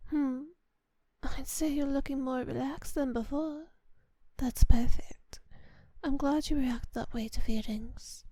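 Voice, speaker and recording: A woman playing a kind, doting vampire mistress in an intimate ASMR roleplay, speaking softly and often whispering in refined, unhurried phrases. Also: sits high, fairly even intonation, dry recording. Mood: tender, satisfied. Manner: soft, breathy, often whispered, unhurried endearments; refined, formal diction. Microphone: close microphone in a dry space.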